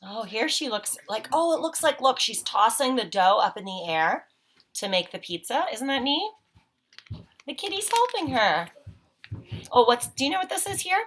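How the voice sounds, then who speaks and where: A woman's voice: fairly high pitch, very animated, normal speed, a bit bright, breathy edge. A woman teacher leading a read-aloud picture walk for children, explaining simply and asking frequent, encouraging questions.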